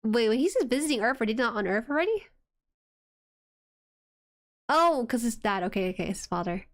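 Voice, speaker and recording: A woman with a soft voice chatting casually and reacting excitably as she hosts an anime watchalong stream. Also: a little high, fairly quick.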